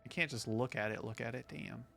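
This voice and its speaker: A man's voice: medium pitch, a little fast, vocal fry, a bit bright, soft background music. A man streaming a game, chatting casually and informally with some swearing. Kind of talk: casual chat over gameplay.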